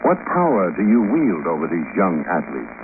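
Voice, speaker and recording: On a noisy, thin vintage radio recording, a male private detective with a fairly low voice. He is dry, deadpan and wisecracking in classic hardboiled radio style. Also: moderate pace, narrow, tinny audio, full character voice. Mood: sardonic. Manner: deadpan, sardonic, probing. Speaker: detective lead and narrator. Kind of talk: 1950s radio detective narration and dialogue.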